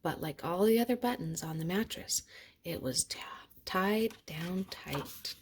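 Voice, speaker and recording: A woman narrating a children's picture book aloud in an expressive storytelling manner. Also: on the low side, measured pace, slight background noise. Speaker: storybook reader.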